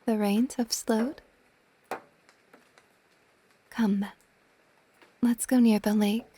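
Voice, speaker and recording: A woman voicing an ancient, gentle and possessive dragon goddess in a soft, intimate, partly whispered ASMR roleplay. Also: on the high side, fairly even intonation, extremely slow, slight background noise. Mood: gently probing. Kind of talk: ASMR romantic audio roleplay.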